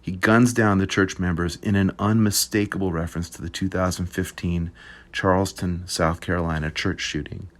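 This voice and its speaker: A man reading an essay aloud at a group reading, measured and descriptive. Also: low voice, steady tone.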